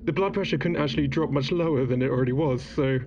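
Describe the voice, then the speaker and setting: A man playing a steady, dryly teasing spaceship colleague in an audio drama, composed and heard over a radio comm. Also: medium pitch, fast, highs cut off. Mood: relieved. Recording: thin band-limited comm, faint noise.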